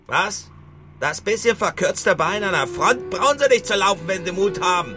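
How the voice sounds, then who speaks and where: A bitter veteran soldier in a war radio drama. He is mocking and emphatic, his pitch leaping about with sardonic relish, while music runs strongly behind him. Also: animated, moderate pace, sharp tone. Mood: scornful.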